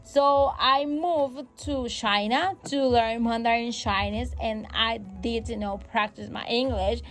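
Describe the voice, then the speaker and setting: A woman's voice: fairly high pitch, fairly even intonation, slow. A woman whose first language is Spanish, hosting a casual English-learning podcast. She is encouraging, repeats key phrases and makes small grammar slips. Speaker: English teacher and podcast host. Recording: faint music in the background.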